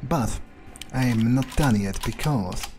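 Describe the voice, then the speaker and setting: A man's voice: medium pitch, slow, heavy background noise. Man musing aloud during gameplay. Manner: musing, muttering to himself. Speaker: gamer playing in character. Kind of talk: in-character gameplay commentary, thinking aloud. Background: faint game music.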